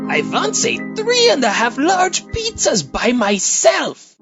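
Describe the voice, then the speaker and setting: A woman's voice: high voice, wildly swooping pitch, takes it slowly, dull audio, music in the background, heavily acted. A woman playing a dim, dreamy oddball who speaks with guileless innocence in a comedy audio drama. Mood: innocent, dreamy. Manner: guileless non sequiturs.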